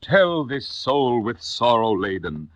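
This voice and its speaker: A man's voice: medium pitch, very animated, slow pace, dry recording, pitched above the performer's own voice. A man playing a grief-maddened, desperate man in an impassioned, theatrical 1950s poetry recitation. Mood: desperate pleading.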